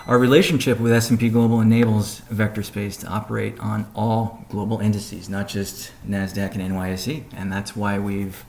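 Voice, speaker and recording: A man giving a measured, businesslike update on a conference call, pausing between phrases as he reads out points and answers questions. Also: lowish voice, little pitch movement, creaky, darkish tone. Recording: noisy conference call audio.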